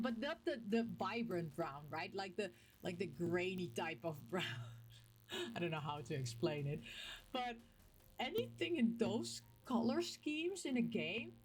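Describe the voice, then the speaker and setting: A woman from the Netherlands with a Dutch accent chats casually to her viewers as a gaming vlogger, telling things in an animated, playfully emphatic way with little acted-out quotes. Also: medium pitch, a little hiss or hum, low-quality recording. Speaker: video game vlogger and host. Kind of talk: casual, chatty talk to camera.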